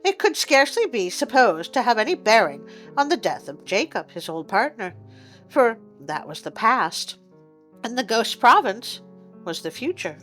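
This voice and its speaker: A woman's voice: a little high, sing-song, normal speed, a bit bright, faint music behind. A woman narrating a classic story expressively as host of a reading show.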